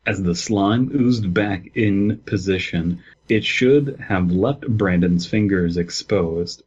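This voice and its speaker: Husky, lowish male podcast co-host. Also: slow, darkish tone. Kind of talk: casual banter and comic story reading. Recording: dull, muffled lo-fi microphone.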